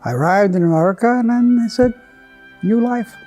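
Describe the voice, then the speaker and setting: A man's voice: high voice, fairly quick, mellow, soft background music. An older man, born in Hungary with Hungarian as his first language, telling his story in a recorded interview. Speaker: survivor interviewee.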